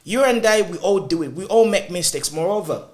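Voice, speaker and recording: Chatty Zambian man hosting, high voice. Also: fairly quick. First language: Lozi. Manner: chatty, animated, thinking aloud. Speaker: host. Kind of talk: casual podcast discussion.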